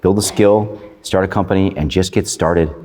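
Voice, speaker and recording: An American man with a smooth, radio-like voice answers audience questions casually as a guest on a live panel. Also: low-pitched, moderate pace, darkish tone. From Michigan, US. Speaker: guest investor on stage. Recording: reverberant conference hall, live stage.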